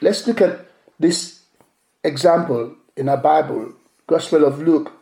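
Slow, deliberate pastor with a mellow voice. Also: male voice, a little high, vocal fry. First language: Yoruba. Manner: measured, repeating key phrases for emphasis. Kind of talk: sermon. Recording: echoey room.

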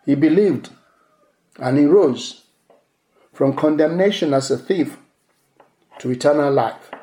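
A male pastor whose first language is Yoruba preaches a sermon in a measured way, repeating key phrases for emphasis. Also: fairly high pitch, mellow. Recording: echoey room.

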